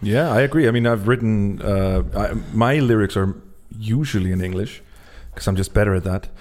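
A man's voice: lowish voice, normal speed, a little mellow. A man hosting a podcast in a casual, conversational interview style. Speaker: podcast host, songwriter.

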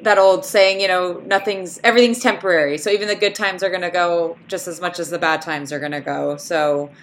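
A woman's voice: lowish voice, steady tone, brisk, rough recording. A woman hosting a casual interview, chatty and affirming, often tagging questions with "right". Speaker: host.

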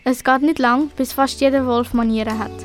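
A woman's voice: high-pitched, fairly even intonation, brisk, over music. A woman with a Swiss German accent narrating a scripted children's story for an amateur radio play.

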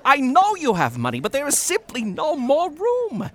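A man's voice: very high voice, wildly swooping pitch, moderate pace, heavily acted. A man acting a flustered, reluctant innkeeper in an audio drama, exasperated and stammering. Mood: harried, apologetic.

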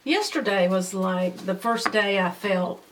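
A woman's voice: mid-range voice, measured pace, slight background noise. A woman co-hosting a garden radio show, speaking in brief, matter-of-fact casual chat. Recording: distant mic.

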